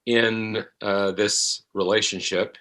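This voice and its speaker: A middle-aged man, an actor being interviewed, speaks in a halting, thoughtful way and often repeats his phrases. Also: fairly low pitch, level tone, bright, forceful tone. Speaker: actor guest. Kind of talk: reflective interview conversation.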